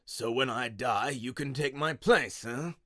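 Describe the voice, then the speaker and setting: A man's voice: medium pitch, a little slow, sharp tone, no echo. Curt, embittered elderly uncle acted by a man for a storybook radio play; grudging mutter, cold temper, dry sound. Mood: bitter, muttering. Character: cold, bitter old male inventor, jealous uncle.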